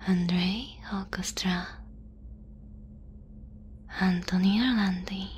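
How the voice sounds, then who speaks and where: Slow whispering ASMR woman. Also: medium pitch, fairly even intonation, slight background noise. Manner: whispering, soft and breathy delivery. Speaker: ASMR channel creator thanking listeners and patrons.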